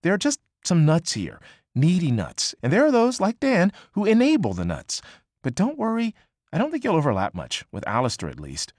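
A male narrator putting on a brash, gossipy young woman, pitched up high and swinging wildly in tone. Her delivery is quick and emphatic, swearing freely, in a close, dry audiobook read. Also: wildly swooping pitch, a little mellow, no room sound. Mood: teasing. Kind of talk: audiobook character voice.

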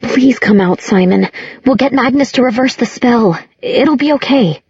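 A woman's voice: fairly high pitch, normal speed, darkish tone, slightly rough, no echo, muffled recording, pitched above the performer's own voice. Woman acting a fierce, anxious young heroine. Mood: anxious coaxing.

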